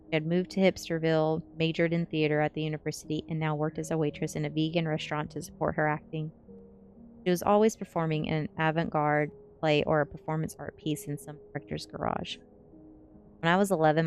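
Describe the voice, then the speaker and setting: A woman with a low-pitched voice narrates a story in an ASMR style. She reads from a novel as a streamer would for listeners, with faint music playing behind her. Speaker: streamer reading a novel aloud.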